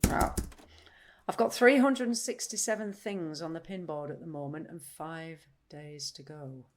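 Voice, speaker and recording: A woman's voice: low voice, a little slow, background noise. An actress playing a blunt, restless, literal-minded woman in audio play dialogue. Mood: matter-of-fact.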